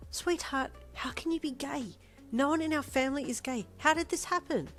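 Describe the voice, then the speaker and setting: A woman voicing a pushy, easily upset middle-aged mother who coaxes softly and then turns shrill. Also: moderate pace, soft background music. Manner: soft and coaxing, turning shrill and gasping.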